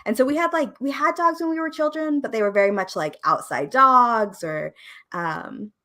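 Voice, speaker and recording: A woman in her twenties from Oregon, a podcast producer, talking in a relaxed, rambling way with fillers and small laughs. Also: a little high, normal speed. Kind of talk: casual conversation interview.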